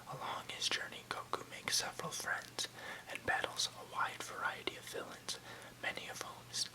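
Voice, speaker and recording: A soft, fully whispered voice from an ASMR host. The delivery is unhurried and hushed, moving between casual remarks and careful reading of written text, with no voiced speech. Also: poor audio.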